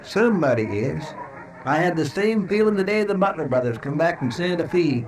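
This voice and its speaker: A man's voice: fairly high pitch, lively intonation, normal speed, soft background music, lightly acted, poor audio. A man acting an anxious, folksy American sidekick in an old Western radio drama, with a rustic, fretful drawl. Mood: foreboding, insistent. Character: anxious, folksy male sidekick of a frontier marshal.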